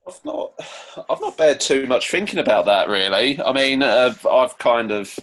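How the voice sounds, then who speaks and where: A man's voice: mid-range voice, very animated, normal speed, a bit bright, low-quality recording. A man with a British accent answering interview questions as a musician, in casual, hesitant, rambling talk. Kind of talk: casual chat.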